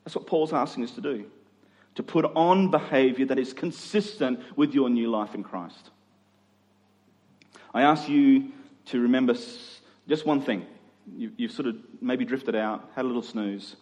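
A man's voice: medium pitch, unhurried, a little mellow. A man preaching a sermon, reading scripture and urging listeners in a conversational way with repeated phrases. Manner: conversational, exhortative, rhetorical repetition.

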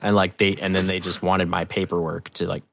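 A man's voice: deep voice, flat delivery, a little fast, creaky, slight background noise. An American man chatting as a podcast guest, telling anecdotes in an emphatic, opinionated way with frequent swearing. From New Hampshire, United States. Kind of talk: casual, opinionated interview chat.

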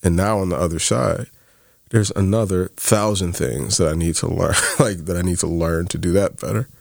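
A man's voice: low voice, normal speed, gravelly, no room sound, clean studio sound. A man in his thirties co-hosting a faith-based podcast, telling stories in a casual way with many fillers. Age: 30s. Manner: storytelling, quoting others, many fillers like "like". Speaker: podcast co-host.

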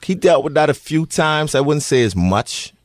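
A man in his thirties with a Bahamian accent, answering interview questions earnestly with many pauses and restarts. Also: on the high side, animated, dry recording, studio quality. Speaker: interviewee, parent.

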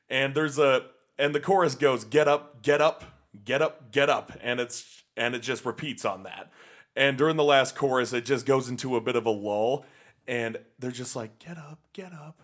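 A man's voice: mid-range voice, fairly bright tone. A man co-hosting a music podcast, talking in a rambling, hesitant way with many fillers and restarts. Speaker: podcast co-host. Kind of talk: casual chat about punk music. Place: Salt Lake City, USA.

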